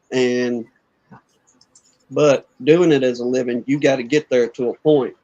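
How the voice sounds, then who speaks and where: Regular guy, mid pitch, casual chat, kinda muffled lo-fi sound. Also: takes it slowly, warm tone. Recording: dull, lo-fi call audio.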